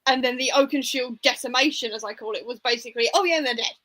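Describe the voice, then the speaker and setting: A woman's voice: fairly high pitch, quick pace, pushed and bright. A woman hosting a casual book discussion, speaking informally and giving her opinions with plenty of hedging. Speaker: book discussion livestream host.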